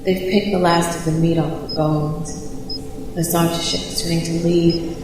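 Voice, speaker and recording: A woman playing a stranded, proud but frightened news reporter in a sci-fi audio drama, speaking haltingly in broken phrases. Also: lowish voice, little pitch movement, lightly acted. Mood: horrified, anxious. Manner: halting, breathy delivery with broken, repeated phrases. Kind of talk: audio drama monologue, sci-fi radio play. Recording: very echoey, long reverb, distant, noisy ambience. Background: clear ambient noise.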